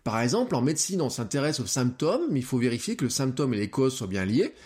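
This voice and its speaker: A man hosting a solo podcast, explaining his ideas to listeners, often pausing with hesitations as he thinks aloud, speaking close to a microphone. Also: mid-range voice, very animated, darkish tone. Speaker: podcast host.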